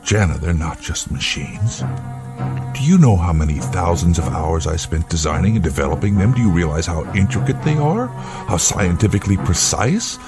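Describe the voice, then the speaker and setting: A man's voice: sits low, very animated, normal speed, music in the background. An actor playing a proud, controlling, reclusive inventor father in a radio drama, grave, oratorical and insistent. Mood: impassioned.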